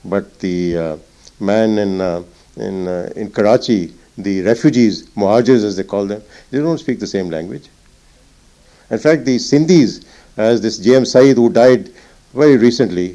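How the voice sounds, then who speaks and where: An older man, a retired naval officer recalling the past in an interview, reflective and halting with many fillers. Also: mid-range voice, mellow. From Punjab. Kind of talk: oral history interview.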